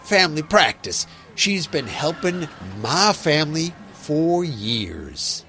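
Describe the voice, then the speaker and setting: An actor playing a shifty farmer villain in a family mystery audio drama, smarmy and evasively polite. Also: male voice, fairly high pitch, sing-song, slow, sharp tone, slight background noise, heavily acted.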